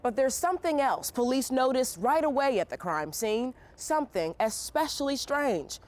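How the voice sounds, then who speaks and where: A woman's voice: high voice, animated, normal speed, a little mellow. A woman narrating a scripted television news story. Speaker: TV news reporter.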